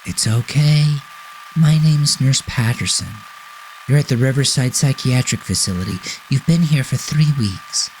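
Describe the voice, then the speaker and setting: A man voicing a gentle, reassuring psychiatric nurse with a soothing, caring manner in a horror story. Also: medium pitch, unhurried, a little hiss or hum, pitched above the performer's own voice, close to an everyday voice, rough recording. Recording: voice over prominent background music.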